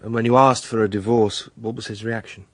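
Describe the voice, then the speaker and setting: An actor playing a self-assured British defence barrister in a radio drama, husky, smooth-talking and probing. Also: male voice, on the low side, steady tone, fairly quick, lightly acted. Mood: courteous, probing.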